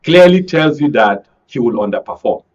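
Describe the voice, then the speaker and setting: A man with a Nigerian accent commenting on politics as a panel guest, deliberately, in short broken phrases. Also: mid-range voice, expressive, a little mellow. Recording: dull lo-fi broadcast audio.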